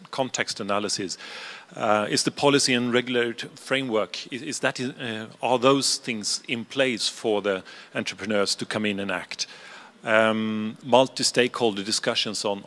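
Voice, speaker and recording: A man giving an informal group readout at a roundtable, speaking in halting, hesitant phrases. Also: on the low side, fairly bright tone. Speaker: roundtable participant reporting back.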